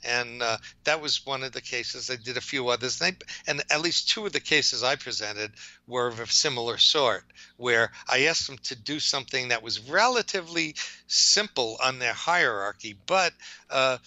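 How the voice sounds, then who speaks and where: An older man with a New York accent gives rambling interview answers. His voice is very bright and forceful, and he often stops to correct himself. The recording is dry and slightly dull in fidelity. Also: mid-range voice, no room sound. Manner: rambling, halting, self-correcting. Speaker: OCD psychologist, interview guest.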